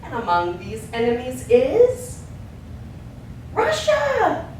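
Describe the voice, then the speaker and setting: An actress playing a sardonic, ranting orator in an avant-garde theatrical monologue, declamatory, with drawn-out emphasis and sudden swings. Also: female voice, huge pitch swings, a little mellow, full character voice. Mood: scornful, declamatory. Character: sardonic, ranting orator railing against American war and manufacture. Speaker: stage actor. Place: echoing performance space, recorded from a distance. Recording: very echoey stage, distant mic, rumbling noise.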